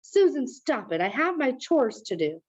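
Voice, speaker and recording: A woman's voice: high voice, very animated, moderate pace, voice pushed up. Lady reading as stubborn muddled old granny in a kids book, indignant, muffled audio. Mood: angry. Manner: insistent, indignant. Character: confused, stubborn, angry elderly grandmother.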